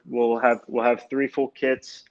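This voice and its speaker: A young man in his twenties, Greek his first language, talks in a relaxed, hesitant way with lots of restarts. His pitch stays level and narrow, and the video-call audio sounds dull and lo-fi. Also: unhurried, over a noisy crowd. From United States. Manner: easygoing, hesitant with many restarts. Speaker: guest, amateur runner and goalkeeper. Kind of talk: casual interview answers.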